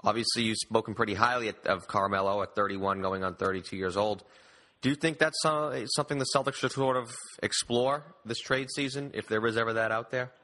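Bright, low-voiced man hosting sports talk. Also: a little fast. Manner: emphatic, wordy, opinionated. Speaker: sports podcast host. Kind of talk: conversational interview questioning.

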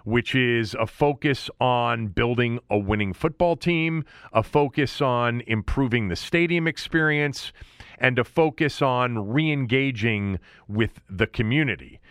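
A man hosting a sports talk show, giving opinionated commentary in deliberate, emphatic phrases with points repeated for weight. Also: mid-range voice, fairly bright tone, clean tone, no room sound, clean studio sound. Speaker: sports talk podcast host.

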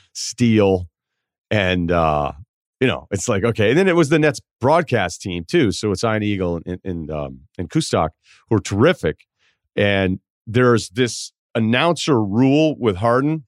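Male sports host, lower register, conversational commentary, dry recording. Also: no echo.

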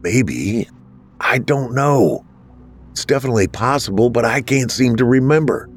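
Man doing young male ghost, sarcastic, defensive, higher than his own voice, audiobook. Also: expressive, moderate pace, faint music behind, lightly acted. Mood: uncertain.

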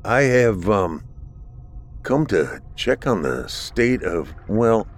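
A man voicing a nervous, polite male inspector who stammers and pleads, a little higher than his own voice. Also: animated, moderate pace, faint music behind, natural voice.

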